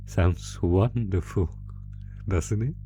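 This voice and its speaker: Sadistic monster played by a man, low-pitched and unhurried, taunting a captive with mock courtesy, horror audio drama over music. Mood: savoring, cruel. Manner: slow, taunting, mock-polite, savoring pauses. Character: sadistic male monster gleefully toying with a captive. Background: musical score.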